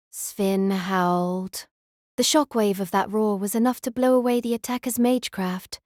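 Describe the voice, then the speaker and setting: A woman's voice: on the high side, darkish tone, dry recording, clean studio sound. A woman reading scripted audiobook narration of a story as its narrator.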